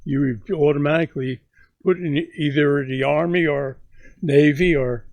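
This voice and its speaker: A man in his nineties telling stories from his youth to his grandson, slow and halting, often repeating words as he begins a sentence. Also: a little high, fairly even intonation, no echo. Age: 90s. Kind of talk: casual storytelling interview.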